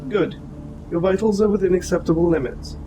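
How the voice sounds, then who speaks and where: A man playing a gentle, protective robot soldier in an audio roleplay, speaking to the listener in formal, precise, measured wording. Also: high voice, background noise, natural voice. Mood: calm, caring. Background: music.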